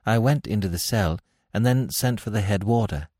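A man's voice: fairly low pitch, expressive, normal speed, dark tone, no room sound, studio quality. A man voicing an uneasy, respectful prison warder in an audiobook, hesitant but dogged. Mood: guarded. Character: uneasy, deferential male prison warder, ex-military.

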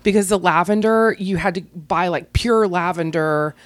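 A woman's voice: lowish voice, moderate pace. A young adult woman, a podcast guest, telling a story casually in a rambling way, full of fillers and giggles.

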